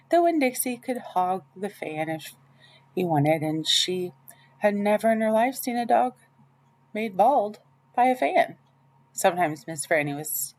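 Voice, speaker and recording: A woman reading a children's novel aloud in the first person, with expressive storytelling. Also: fairly low pitch. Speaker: audiobook reader.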